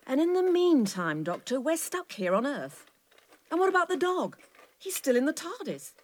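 Exasperated female companion, highish voice, swooping intonation, pointed, incredulous, audio drama. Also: sing-song, moderate pace. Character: sharp, exasperated female time-travelling companion.

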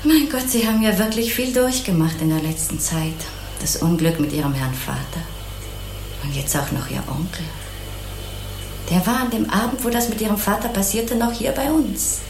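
An actress playing a kindly, naive, chatty housewife in an obliging, rambling way in a German crime radio play. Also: female voice, medium pitch, normal speed, roomy sound, music in the background, natural voice. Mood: sympathetic, chatty. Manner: obliging, artless, rambling, sympathetic.

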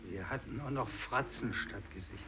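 A man's voice: fairly low pitch, a little fast, pushed and bright. A man playing a haunted, disfigured fisherman in a radio play, recounting suffering. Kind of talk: 1950s German radio play acting. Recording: dull, noisy vintage radio recording.

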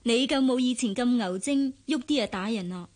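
Actress as earnest, high-voiced young Hong Kong daughter. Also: female voice, moderate pace, darkish tone, lightly acted. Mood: sisterly. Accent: Hong Kong Cantonese. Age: young adult. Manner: earnest, questioning, candid. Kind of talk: Cantonese radio drama acting.

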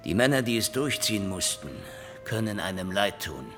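Low, gruff actor as a professor. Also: male voice, expressive, moderate pace, music in the background, close to an everyday voice. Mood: grave insistence. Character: gruff, reluctant male professor justifying war. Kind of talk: radio play acting in German.

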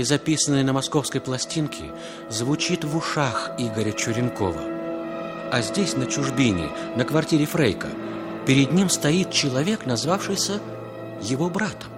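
A man's voice: medium pitch, expressive, normal speed, music in the background, natural voice. A man narrating a 1960s Soviet radio drama in a dramatic scripted style.

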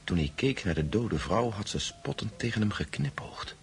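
A man's voice: on the low side, very animated, normal speed, gravelly. An actor playing a grave man who narrates a story in the first person in a radio drama. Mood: grave, measured. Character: Pavel, grave male friend recounting a ghostly tale.

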